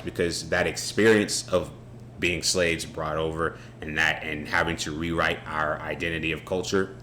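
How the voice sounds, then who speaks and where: Co-host, man with a very low voice, reflective and unhurried, faint noise in the room. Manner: relaxed, reflective, halting restarts. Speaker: podcast co-host. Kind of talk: casual unscripted conversation.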